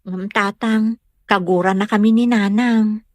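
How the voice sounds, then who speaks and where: Woman acting a gentle, dutiful young daughter. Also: medium pitch, fairly even intonation, measured pace, dry recording, lightly acted. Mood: earnest. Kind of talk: comedy audio drama acting.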